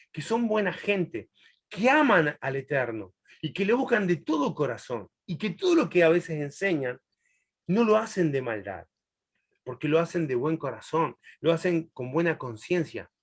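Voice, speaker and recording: A man's voice: a little high, sing-song. A middle-aged man from Chile, raised in Argentina, teaching a Bible class in a chatty, laughing way. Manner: warm, chatty, laughs often, long pauses. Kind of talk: answering a student in a live class.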